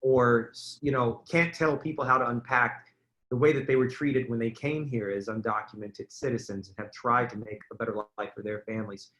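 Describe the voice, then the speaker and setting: A man moderating a panel discussion in a conversational way, with earnest, deliberate phrasing and small rhetorical check-ins. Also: medium pitch, fairly even intonation, a bit bright, poor audio. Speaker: forum moderator.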